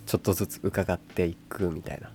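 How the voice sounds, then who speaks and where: Very deep-voiced, boyish young woman. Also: fairly even intonation, brisk, vocal fry, mellow, faint music behind. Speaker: radio co-host. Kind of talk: casual chat.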